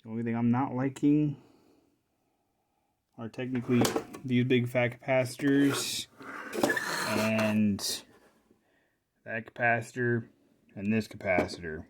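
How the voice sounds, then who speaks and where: A man's voice: mid-range voice, slow, pauses often, noisy background. A man giving casual, unscripted commentary as a hobbyist repairer, thinking aloud to himself as he works. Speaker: hobbyist repairer presenting a video.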